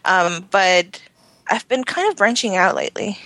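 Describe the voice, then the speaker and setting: Bright-voiced woman, native Spanish speaker. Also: mid-range voice, expressive, normal speed, no echo, rough recording. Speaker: podcast guest, Spanish teacher. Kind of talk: casual interview chat.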